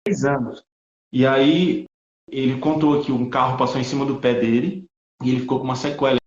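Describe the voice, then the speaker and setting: Homem brasileiro com voz ecoada de chamada. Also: male voice, mid-range voice, steady tone, darkish tone. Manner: halting, repeats words while recounting. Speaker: missionary guest. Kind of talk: casual testimony. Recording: echoey, lo-fi call audio.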